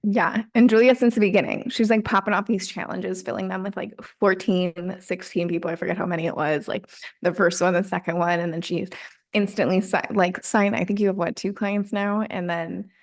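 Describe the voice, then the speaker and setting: A woman's voice: medium pitch, steady tone, fairly quick, creaky. A woman coach hosting a group video call, bubbly and effusive, with lots of "like". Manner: bubbly and effusive, with many "like" fillers. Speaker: coach and program host.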